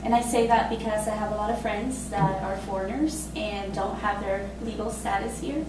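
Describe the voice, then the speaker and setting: A woman in her twenties from Costa Rica, telling her own story in a casual, halting way with many fillers and laughs. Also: mid-range voice, very echoey, distant mic, background noise. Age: 20s. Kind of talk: personal spoken testimony.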